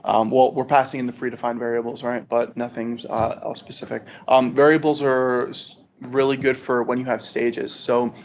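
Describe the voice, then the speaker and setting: A man's voice: mid-range voice, level tone, normal speed. A man presenting an informal technical walkthrough to a study group, conversational and often checking in with "right?". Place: group meetup with video conference call. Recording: dull, lo-fi audio with background noise.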